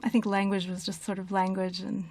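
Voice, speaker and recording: An American woman talking steadily in a relaxed interview, filling her pauses with "um" and "you know." Her voice stays level, with a light creaky edge. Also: medium pitch. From United States. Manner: steady, explanatory, with frequent fillers.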